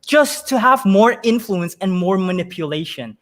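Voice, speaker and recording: Higher-voiced man, emphatic and conversational. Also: animated, normal speed, a bit bright. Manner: emphatic, repeats words as thoughts form. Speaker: interview guest, storytelling and marketing entrepreneur. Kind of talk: conversational interview answers with personal anecdotes.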